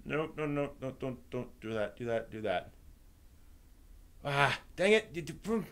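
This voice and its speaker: Low-key man muttering on a live stream. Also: very animated, a little slow, fairly bright tone. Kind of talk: casual live stream chat.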